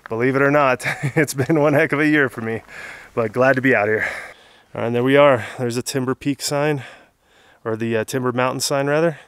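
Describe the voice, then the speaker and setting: A man's voice: mid-range voice, steady tone, brisk, a bit bright, clean tone, no room sound. A man in his thirties vlogging casually outdoors on a mountain summit after a hard hike, tired, chatty and laughing often, recorded on a handheld camera at arm's length. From Southern California. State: exhausted after a hard climb. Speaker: hiking vlogger. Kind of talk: casual vlog talk to camera.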